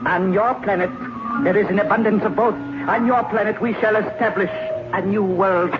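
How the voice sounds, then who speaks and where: An actor playing a cold alien invader posing as a man, speaking formally and deliberately in an old radio drama. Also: high-pitched, steady tone, music in the background, lightly acted. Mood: cold, explanatory. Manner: formal, deliberate speech without contractions. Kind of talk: 1950s radio drama acting. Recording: noisy, muffled old radio recording.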